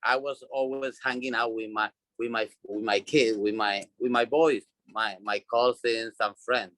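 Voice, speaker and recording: A man's voice: medium pitch, steady tone, normal speed, fairly bright tone, dry recording. A man from Puerto Rico with a Puerto Rican Spanish accent, chatting as an interview guest, repeating and restarting words as he tells his story. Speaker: guest, doll designer. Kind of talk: casual interview chat.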